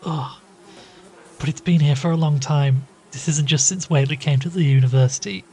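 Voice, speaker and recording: A man's voice: a little high, moderate pace, a little mellow, close to an everyday voice. A man playing an uneasy, wryly weary investigator in an audio drama, speaking hesitantly. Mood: queasy. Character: uneasy male investigator narrating his case, reluctant and wry. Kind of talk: audio drama dialogue and first-person narration.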